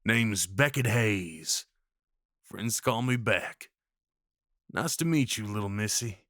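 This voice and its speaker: A man's voice: on the low side, expressive, slow, fairly bright tone, dry recording, studio quality. A man playing a rugged, kindly cowboy of the Old West in a roleplay audio drama, with a folksy, reassuring drawl. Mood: friendly, amused.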